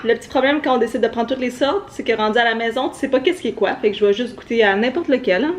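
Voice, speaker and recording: A woman's voice: on the high side, fast, slight background noise. A young woman with a Québécois French accent, chatting upbeat and directly to viewers in a casual travel vlog. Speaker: travel vlogger.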